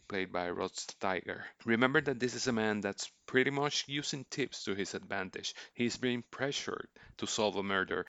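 Man, low voice, smooth tone, scripted film commentary, measured and earnest, dry close sound. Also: a bit bright, dry recording. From Puerto Rico. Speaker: film podcast host. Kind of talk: scripted film review narration.

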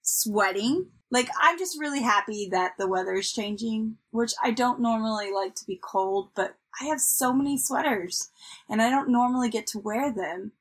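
A woman's voice: fairly high pitch, moderate pace, slightly rough. Chatty upbeat woman podcast co-host. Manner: chatty, upbeat, trails off with fillers. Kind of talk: casual chat.

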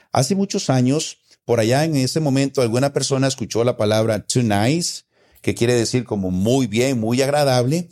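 A man's voice: medium pitch, darkish tone. Patient Costa Rican man explaining. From Costa Rica. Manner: patient, explanatory, repeats points. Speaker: guest explaining local slang. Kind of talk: casual conversation.

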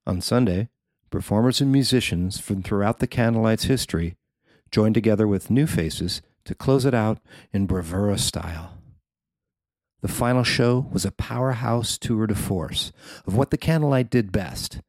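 A man's voice: fairly low pitch, normal speed, darkish tone, no echo, clean studio sound. A man reading his own written feature article aloud as scripted narration.

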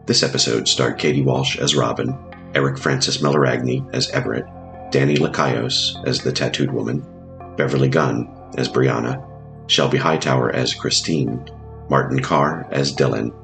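A man's voice: rumbling low, fairly even intonation, moderate pace, vocal fry, fairly bright tone. A man narrating a scripted horror audio drama in an ominous, literary manner. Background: music.

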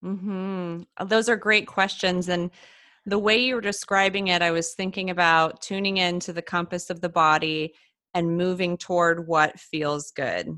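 A woman's voice: on the low side, steady tone, moderate pace, sharp tone, dry recording, clean studio sound. A woman hosting a casual interview, reflective and asking open questions. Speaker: host.

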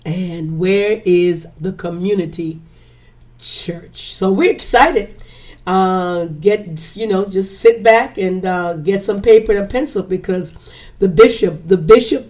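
A woman's voice: medium pitch, a little mellow. Woman host, stuffy sinus voice, chatty, preacherly repetition. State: congested, slightly hoarse. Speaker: podcast host. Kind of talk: casual podcast intro.

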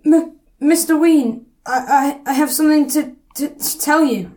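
A woman's voice: high-pitched, measured pace, dark tone. A woman playing a nervous, hesitant lost property clerk with a stammer in an audio drama. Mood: nervous, faltering. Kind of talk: community audio drama acting.

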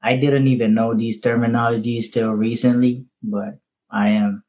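A man's voice: mid-range voice, level tone, normal speed, a little mellow, a little hiss or hum. A man guest who speaks unsurely and trails off.

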